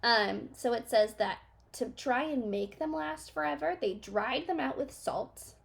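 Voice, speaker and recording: A woman's voice: on the high side, lively intonation, unhurried, creaky. A young woman teacher reading a children's book aloud, stopping to ask questions and explain.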